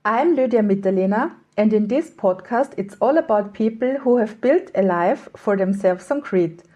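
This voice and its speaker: A woman hosting a podcast, chatty and quick to laugh in a casual interview. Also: mid-range voice, mellow. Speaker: podcast host.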